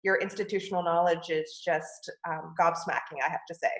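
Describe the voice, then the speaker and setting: A woman's voice: fairly low pitch, little pitch movement, brisk, roomy sound. A woman from California hosting a podcast interview, asking questions with eager curiosity. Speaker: podcast host.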